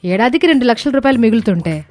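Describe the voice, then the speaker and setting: Woman playing a pert, high-pitched miserly young daughter-in-law. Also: expressive, quick pace, a little mellow, faint music behind, close to an everyday voice. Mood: insistent. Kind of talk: animated moral story dialogue.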